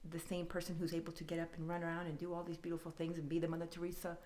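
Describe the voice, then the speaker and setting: A woman's voice: fairly low pitch, completely flat delivery, rapid-fire, darkish tone, slight background noise. A woman guest on a podcast, talking earnestly and thoughtfully about faith and identity, building her points in lists. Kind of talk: reflective faith-based conversation.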